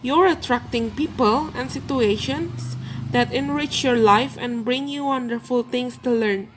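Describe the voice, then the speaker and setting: Gentle woman card reader. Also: sits high, measured pace, faint music behind, rough recording. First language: Indonesian. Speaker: tarot and oracle card reader. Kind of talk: channeled card reading for viewers.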